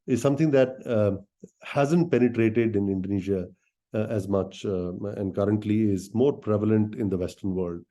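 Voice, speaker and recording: A man speaking as a company executive in a business presentation, explaining things directly to listeners in halting phrases with many filled pauses. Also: on the low side, dark tone. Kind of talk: business presentation on an earnings call.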